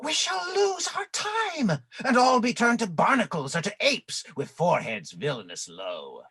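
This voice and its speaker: A woman playing a resentful, scheming monster servant in a Shakespearean radio play, with a husky voice. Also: mid-range voice, huge pitch swings, a little slow, a bit bright, no echo, exaggerated voice. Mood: frustrated.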